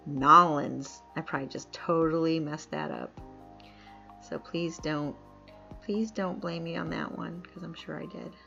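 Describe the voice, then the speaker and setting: A woman's voice: deep voice, fairly even intonation, a little slow, gravelly, pushed and bright. A woman from upstate New York chatting casually while live-streaming a game, laughing often and reading chat aloud. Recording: dull lo-fi mic with faint music.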